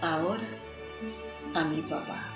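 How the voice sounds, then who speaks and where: A woman reciting a poem in a measured, solemn way. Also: deep voice. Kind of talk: slow poetry recitation over music. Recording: echoey room with violin music behind. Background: violin music.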